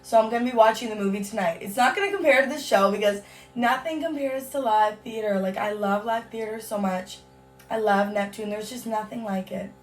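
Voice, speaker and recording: A young woman from Canada chatting in a casual home vlog, bubbly and full of "like" and "you guys", her voice hoarse from a cold. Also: mid-range voice, brisk, distant mic, soft background music. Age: young adult. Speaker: beauty vlogger. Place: at home on a hot day.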